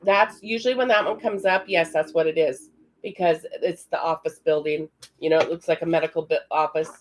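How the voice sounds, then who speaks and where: A woman with a raspy voice giving a live-stream psychic card reading, chatting directly with viewers in the comments. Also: sits low, fairly even intonation, fairly quick, noisy background. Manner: chatty, talks to viewers in the chat. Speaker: psychic reader.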